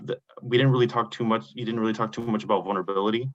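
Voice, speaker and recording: A man's voice: fairly low pitch, steady tone, very fast, lo-fi sound. A man in the audience asking a long, rambling question in a seminar, searching for his words.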